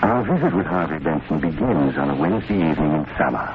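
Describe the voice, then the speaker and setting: A man acting as a wry, genial storyteller in an old radio mystery, narrating in a measured, theatrical way. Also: a bass voice, lively intonation. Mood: wry, ominous. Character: ominously genial male storyteller of murder tales. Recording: dull vintage radio recording, music behind. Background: music.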